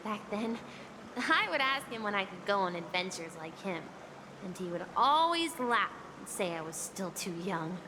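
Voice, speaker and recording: A woman playing a wistful, earnest young boy in a video game story, speaking softly and hesitantly. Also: medium pitch, sing-song, normal speed, noisy background, lightly acted.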